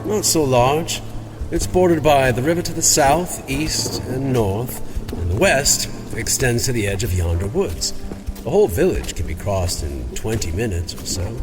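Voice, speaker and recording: A man voicing a learned, dry and grim cleric who explains things deliberately in a dark fantasy audio drama. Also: medium pitch, lively intonation, pitched above the performer's own voice, natural voice. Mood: grave, measured. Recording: music under the voice.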